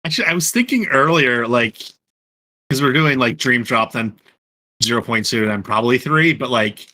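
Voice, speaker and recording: Bright, forceful man in casual podcast chat. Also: medium pitch, lively intonation, moderate pace. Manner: stop-start, thinking out loud. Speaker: podcast panelist.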